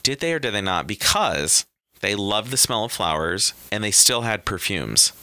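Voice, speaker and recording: A man's voice: on the low side, sing-song, normal speed, pushed and bright, no echo. A man co-hosting a casual comedy podcast, speaking bluntly and crudely, with a slight lisp. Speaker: podcast co-host. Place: small home podcast studio. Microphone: close boom microphone with headset.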